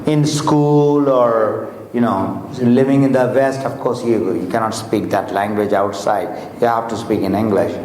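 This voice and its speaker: A man's voice: lowish voice, steady tone, a little mellow. Guy, urdu or hindi first language, motivational seminar talk, lots of uhs, echoey big hall w faint room noise. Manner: slow, deliberate, with frequent fillers and restarts; asks the audience questions directly. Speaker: motivational speaker. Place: large hotel seminar hall.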